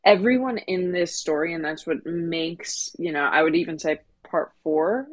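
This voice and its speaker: A woman co-hosting a casual discussion podcast, speaking in an opinionated way with animated emphasis. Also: fairly low pitch, moderate pace. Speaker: podcast co-host.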